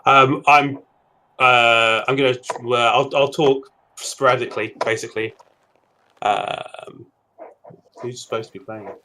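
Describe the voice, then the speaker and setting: Loud, chatty man in casual talk. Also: mid-range voice, fairly even intonation, a little slow, slight background noise, low-quality recording. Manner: loud voice, chatty, laughs easily. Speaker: stream co-host. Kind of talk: casual chat.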